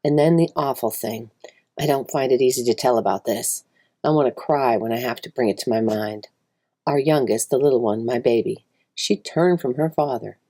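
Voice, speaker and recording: A woman voicing an anguished, plainspoken mother wolf in a dramatic first-person story reading, grave and emotive, with a husky, breathy voice. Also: extremely low pitch, normal speed, mellow. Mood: sorrowful, haunted. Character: mother wolf telling of her husband's horrifying change, anguished, plainspoken.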